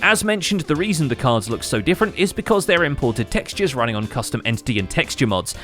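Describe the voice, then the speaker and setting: Guy hosting a scripted gaming recap, wry, really animated pitch, bit of music under it. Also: fast talker. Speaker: host and narrator of a gaming recap show. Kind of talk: scripted narration.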